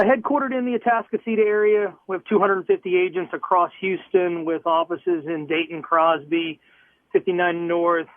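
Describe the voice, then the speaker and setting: A man's voice: on the high side, no echo. A man from Southwest Missouri, a real estate broker, answers radio interview questions in an upbeat, earnest and plainspoken way over the phone. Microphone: telephone. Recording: tinny phone line.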